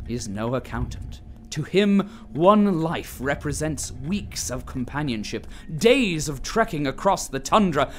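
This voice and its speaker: Solemn propaganda-style narrator, mid pitch, animated intonation, dramatic scripted delivery. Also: male voice, a little hiss or hum.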